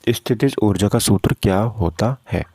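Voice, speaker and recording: Low-pitched man teaching, gravelly voice. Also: moderate pace, warm tone, no echo, studio quality. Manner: asks questions and answers them himself. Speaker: physics teacher for exam preparation. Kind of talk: informal lesson working through practice questions step by step.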